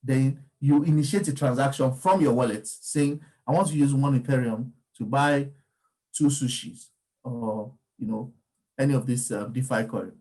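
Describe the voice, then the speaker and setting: A man's voice: mid-range voice, moderate pace, warm tone, poor audio. Man explaining markets. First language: Yoruba. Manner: discursive, frequent ums and restarts. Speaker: crypto market commentator. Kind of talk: casual explanatory talk.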